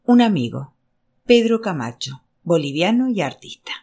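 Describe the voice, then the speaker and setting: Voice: medium pitch, sing-song, normal speed, creaky, a little mellow, husky, heavily acted. A reader voicing a tiny, solemn, humorless male artist who declaims with ceremonious grandeur, in an audiobook novel. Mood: solemn, grave.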